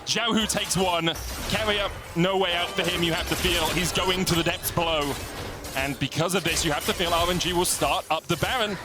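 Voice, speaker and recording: High-pitched man calling esports play-by-play. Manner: rapid, building excitement on big plays. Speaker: play-by-play caster. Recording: loud game music behind.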